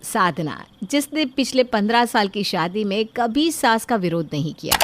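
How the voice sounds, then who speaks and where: A woman's voice: medium pitch, very animated, moderate pace, noisy background. A woman reading a scripted family story as a narrator.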